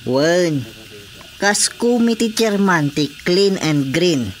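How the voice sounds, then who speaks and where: A man's voice: high voice, expressive, takes it slowly, a little hiss or hum. A man with an Ilocano accent playing an earnest village councilor in a radio drama comedy. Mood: official. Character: earnest village councilor on market inspection rounds.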